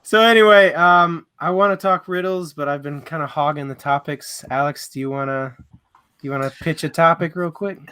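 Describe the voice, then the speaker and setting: A man's voice: fairly high pitch, normal speed, pushed and bright, clear, resonant tone. A man co-hosting a casual book discussion, speaking informally and steering the conversation. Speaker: book club co-host leading topics.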